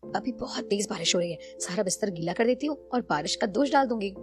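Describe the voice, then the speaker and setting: A woman's voice: medium pitch, lively intonation, fast, music in the background, natural voice. A woman voicing an exasperated, scheming young daughter-in-law in a Hindi cartoon story, with dramatic complaining delivery. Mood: annoyed.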